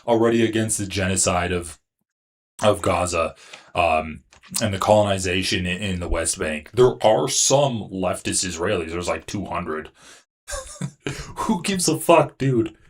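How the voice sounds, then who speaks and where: A man's voice: deep voice, breathy edge. A man hosting a political livestream, talking in a profane, sarcastic, casual way. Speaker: livestream host.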